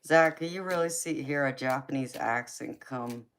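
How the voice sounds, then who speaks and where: A woman's voice: very deep voice, moderate pace, slight background noise. A young woman with a Southern accent, hosting a casual livestream, chatting with viewers, laughing often and answering their comments. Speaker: tarot reader and livestream host.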